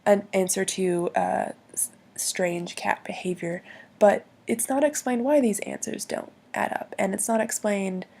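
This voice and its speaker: Hoarse woman, husky rasp, gravelly, hesitant explainer, frequent ums. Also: mid-range voice, fairly even intonation, measured pace, mellow. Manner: hesitant, many ums and restarts. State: hoarse from bronchitis. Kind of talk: explaining logical fallacies.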